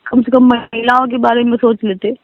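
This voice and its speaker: A woman's voice: on the high side, flat delivery, brisk, no room sound. A woman teacher, tearful and pleading as she airs a grievance in a distressed phone conversation, repeating herself. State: tearful, crying. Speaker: teacher phoning about a transfer grievance. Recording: narrow-band recorded phone call.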